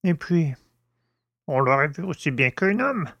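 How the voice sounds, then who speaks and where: A man playing a gruff, superstitious old servant who grumbles uneasily in rustic, exclamatory talk in an audiobook drama. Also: a little high, big pitch swings, measured pace, a bit bright, dry recording, dull audio, full character voice. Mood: agitated.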